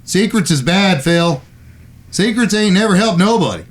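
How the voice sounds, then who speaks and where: Western audio drama: crude American cowboy sidekick, folksy cussing drawl, quick to grumble. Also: male voice, high-pitched, animated. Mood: indignant. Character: crude, hard-drinking old-west gunslinger sidekick, whiskered, grumbling.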